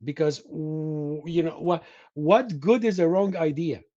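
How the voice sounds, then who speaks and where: A middle-aged man from Egypt, Arabic his first language, talking in a fairly high voice full of restarts. The sound is dull and lo-fi over a video call, dry with no room echo. He tells his stories halting and searching for words. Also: warm tone. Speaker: host. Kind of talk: live-stream conversation.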